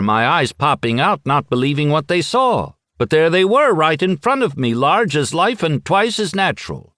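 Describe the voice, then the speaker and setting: A boastful, touchy old drunk, as a male reader puts him on in an audiobook. He talks high above the reader's own pitch, swinging wildly up and down. The sound is dry and close. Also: fairly bright tone, no echo. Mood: awed. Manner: indignant, blustering storyteller.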